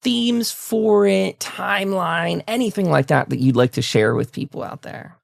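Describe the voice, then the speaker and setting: A man's voice: high-pitched, expressive, measured pace, creaky, no echo, studio quality. A man hosting a conversational interview, talking in a discursive way and repeating words as he forms his thoughts. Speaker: talk show host, interviewer.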